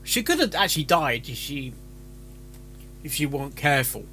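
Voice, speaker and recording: A man giving casual, rambling commentary, haltingly repeating and restarting his phrases. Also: on the high side, sing-song, bright, forceful tone, soft background music. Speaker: reaction video host reviewing fan fiction.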